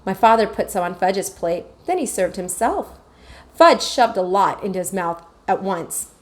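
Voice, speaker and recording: A woman teacher reading a children's chapter book aloud with expressive, animated storytelling. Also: medium pitch, normal speed.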